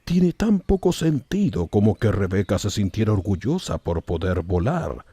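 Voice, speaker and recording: Old wizard sage, very deep, dark husky voice, swooping intonation, indulgent, measured, audiobook storytelling. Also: male voice, sing-song, no room sound, heavily acted. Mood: gently instructive. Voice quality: gravelly, husky. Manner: slow, measured, sententious. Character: wise, calm old male wizard mentor, indulgent. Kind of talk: audiobook character acting.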